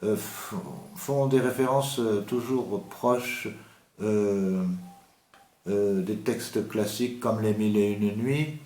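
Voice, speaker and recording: A man giving an unscripted literary talk about an author as the host, slow and halting, with many hesitations and restarts. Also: on the low side, darkish tone, reverberant.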